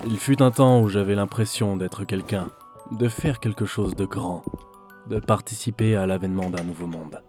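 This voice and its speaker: A man's voice: lowish voice, normal speed, over music, close to an everyday voice. A man playing a disillusioned office worker who broods and mutters to himself in a French audio drama. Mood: weary regret. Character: weary, disillusioned male office worker, former gamer.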